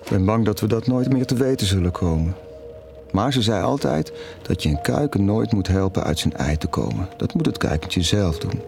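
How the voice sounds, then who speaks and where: A conflicted middle-aged father in an audio drama. His voice sits low and he speaks in a confiding, hesitant, reflective way. Faint music plays behind him. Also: expressive, normal speed, vocal fry, darkish tone. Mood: confessional, wistful. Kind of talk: intimate naturalistic audio drama dialogue.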